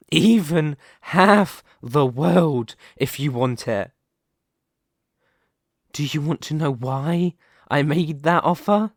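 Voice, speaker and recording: Man acting as a slow-talking, smug demon king. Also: medium pitch, no echo, clean studio sound. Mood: persuasive, fervent. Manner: theatrical, deliberate, condescending, grandiose. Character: reborn male demon king, smugly menacing, possessive, seductive conqueror. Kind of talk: scripted ASMR roleplay monologue.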